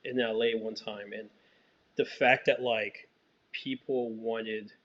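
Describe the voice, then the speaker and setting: A man's voice: fairly low pitch, steady tone, slow, fairly bright tone, highs cut off. A man from Haiti, a filmmaker, answering interview questions thoughtfully, with many fillers and restarts.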